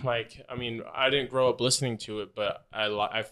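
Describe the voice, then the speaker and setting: A young man talks loosely on a podcast, circling his point with plenty of "like" and "I mean". His voice sits at an ordinary middle pitch. He is easygoing and pokes fun at himself. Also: steady tone, brisk, pushed and bright. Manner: rambling, filler-heavy, self-deprecating. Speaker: podcast host. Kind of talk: casual chat.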